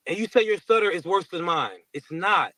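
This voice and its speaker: Defensive high-voiced male panel guest. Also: lively intonation, pushed and bright, no echo. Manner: defensive, slow and careful with pauses. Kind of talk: heated podcast panel talk.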